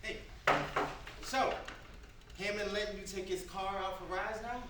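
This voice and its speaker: A man's voice: sits high, steady tone, measured pace. A man playing a brash, teasing younger brother just out of prison, in loose, playful street talk at a staged script reading. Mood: playful, curious. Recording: very echoey room from far off, loud noise.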